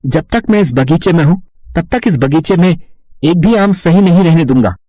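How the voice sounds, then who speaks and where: A man's voice: on the high side, lively intonation, moderate pace, mellow, no echo. A man voicing an arrogant, taunting magical fruit, a menacing villain in a moral bedtime story. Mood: threatening. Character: arrogant, spiteful magical talking mango, male, taunting and threatening.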